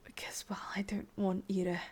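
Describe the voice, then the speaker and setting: A woman with an English accent playing a sadistic, possessive witch in a hushed, breathy ASMR roleplay, slow and coaxing. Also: mid-range voice, little pitch movement, lightly acted. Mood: coaxing, careful.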